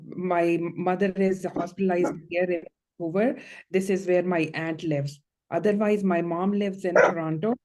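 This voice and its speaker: A woman playing a visiting client in a mock hearing, answering questions in steady, explanatory sentences. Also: on the low side, low-quality recording.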